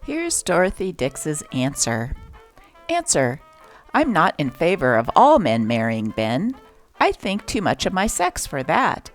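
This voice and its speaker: A woman hosting a show that reads aloud from old newspapers, with an expressive, varied delivery. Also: a little slow, soft background music, poor audio. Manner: expressive reading with lively pitch. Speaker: channel host reading old newspapers.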